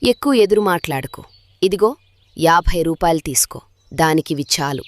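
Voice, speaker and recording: A woman's voice: deep voice, expressive, moderate pace, no room sound. A woman voicing a greedy, domineering older mother-in-law bird in a children's cartoon story, bossy and scolding. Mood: harsh, scolding. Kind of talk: children's animated story dialogue.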